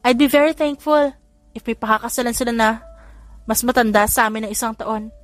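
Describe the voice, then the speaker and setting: A woman playing a high-pitched, sweet, chatty little sister. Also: brisk, dry recording, lightly acted. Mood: pleading, sweet. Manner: mixes Tagalog with English phrases. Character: sweet, chatty, teasing younger sister of rich brothers. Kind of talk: audiobook character dialogue.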